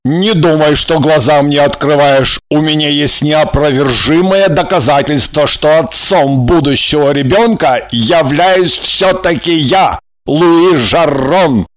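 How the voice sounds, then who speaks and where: An actor playing a young, earnest and exasperated lover in an audiobook drama, speaking emphatically. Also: male voice, mid-range voice, animated. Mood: insistent, irritated. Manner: emphatic, drawn-out, pleading then threatening. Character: young earnest, honourable, exasperated male lover, soon a father.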